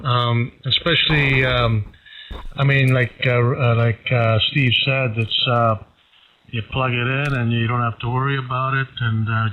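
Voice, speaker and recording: Halting man on muffled radio audio. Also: medium pitch, a little hiss or hum. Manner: hesitant, halting, thinking aloud. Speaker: amateur radio operator. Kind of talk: casual ham radio chat. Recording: dull, band-limited radio audio.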